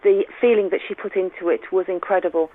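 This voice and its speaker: A woman's voice: mid-range voice, fairly even intonation, quick pace, no room sound. A woman phoning in as a listener, speaking appreciatively. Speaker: listener calling in. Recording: narrow tinny phone line.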